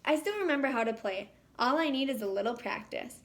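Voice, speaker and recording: A woman voicing an eager, excitable young male cartoon sea sponge in a storybook, with bubbly enthusiasm. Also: high-pitched, a little fast. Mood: excited, eager.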